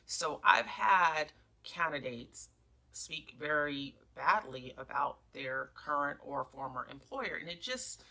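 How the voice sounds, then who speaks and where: A woman's voice: low-pitched, expressive, takes it slowly, vocal fry, pushed and bright. A woman presenting instructional career tips as a human resources coach, stressing headings and key phrases emphatically. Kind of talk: instructional advice talk, numbered tips.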